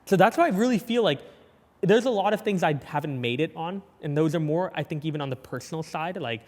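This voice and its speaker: Fast-talking young man with a lisp. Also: on the high side, expressive, a little mellow, in a large hall. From Canada. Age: young adult. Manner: quick, eager, frequent "yep" and "yeah" backchannels. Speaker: guest, entrepreneur. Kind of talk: casual interview chat.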